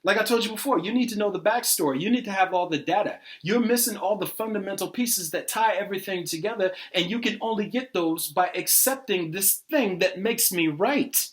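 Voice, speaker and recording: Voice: mid-range voice, brisk, hardly any pauses, lightly acted. A performer playing an arrogant, self-righteous believer in a satirical dialogue, speaking with emphatic, impatient condescension and swearing. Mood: smug, dismissive.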